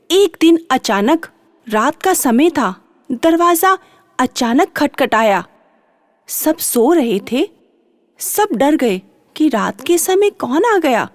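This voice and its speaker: A woman's voice: sits high, no room sound, clean studio sound. A woman narrating a children's bedtime story, repeating and drawing out phrases for drama.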